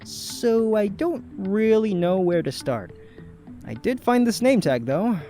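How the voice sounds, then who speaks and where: A woman's voice: mid-range voice, expressive, takes it slowly, warm tone, faint music behind. A woman voicing an eager, slightly clueless young male rookie detective, boyish and casual, in an audio drama. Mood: eager, sheepish. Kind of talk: scripted audio drama dialogue.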